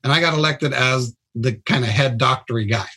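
Husky-voiced plain-spoken doctor. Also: male voice, mid-range voice, sharp tone. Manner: plain-spoken, informal, explains in quick bursts. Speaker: hospital chief of staff doctor, guest. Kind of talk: casual explanatory radio interview.

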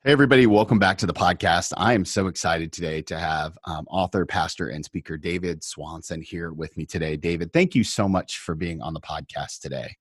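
A man hosting a podcast interview, asking eager, friendly questions with hesitant pauses. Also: low-pitched, breathy edge, no room sound, studio quality. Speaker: podcast host.

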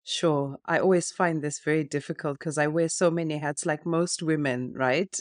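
A middle-aged woman from Zimbabwe talks through her story with a reflective, unhurried flow, padding her thoughts with easy fillers. The sound is close and dry, with no room around her. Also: sits low, fairly even intonation, no echo. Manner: reflective, explains in long flowing sentences with fillers. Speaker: podcast guest, wealth coach. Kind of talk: relaxed conversational interview.

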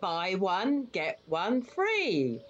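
An older wife and mother in an audio play, fussing and chiding with very animated pitch. The recording is dull and muffled, with a faint layer of noise. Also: drawn-out words. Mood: fussy, chiding. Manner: fussy, chiding. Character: fussy, bossy older wife and mother, long married. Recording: muffled, noisy home recording.

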